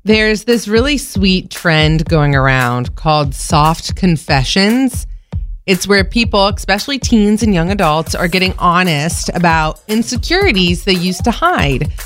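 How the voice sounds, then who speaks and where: A woman with a deeper voice co-hosting a morning radio show, chatting easily and laughing often. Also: lively intonation, measured pace, soft background music. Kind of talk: casual radio chat.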